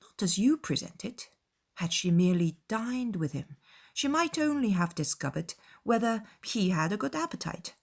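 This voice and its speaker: Woman acting a spirited, witty young gentlewoman, very animated. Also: medium pitch, moderate pace, gravelly. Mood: wry. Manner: wry, spirited. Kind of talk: dramatized audiobook dialogue.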